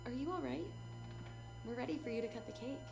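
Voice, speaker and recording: An actress playing the caring, gentle adult daughter of an old veteran in dramatic game dialogue. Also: female voice, sits high, fast, vocal fry. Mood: warm, curious. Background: music.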